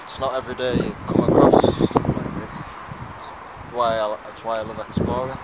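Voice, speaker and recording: A man's voice: mid-range voice, little pitch movement, normal speed. A man giving casual exploring commentary outdoors into a handheld camera as a vlogger. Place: outdoors among derelict brick buildings and lanes. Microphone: handheld camera microphone. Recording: very noisy windy outdoor camera recording.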